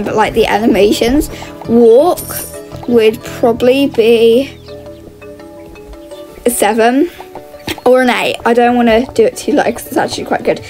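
Female gaming host, high voice, casual and exclamatory, thinking aloud, faint music underneath. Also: darkish tone. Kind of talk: casual gameplay commentary.

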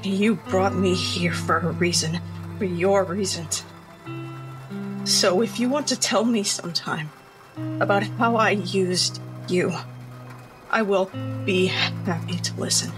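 Female player as bitter, betrayed adventurer: halting, deliberate, heavy with feeling, mid pitch, tabletop roleplay. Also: over music, lightly acted. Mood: hurt, accusing.

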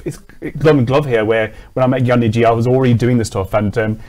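Male guest, mid-pitched, steady and a bit halting, studio interview. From England. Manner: steady, hesitant with frequent ums. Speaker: charity cycling volunteer guest.

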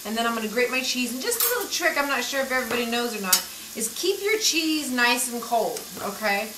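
A woman's voice: fairly high pitch, sing-song, fairly quick, noisy background. A woman hosting a home cooking show, chatty and folksy, often checking in with "okay?" as she demonstrates, with pans sizzling behind her. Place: home kitchen. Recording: echoey kitchen, voice from far off, loud cooking clatter. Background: sizzling pans and clinking utensils.

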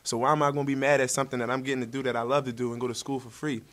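A man's voice: mid-range voice, level tone, racing, a bit bright. A young man, a college basketball player, answering questions at a post-game press conference in an upbeat, casual way, seated at a table mic. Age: young adult. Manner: upbeat, easygoing, casual slang. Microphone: table mic in front.

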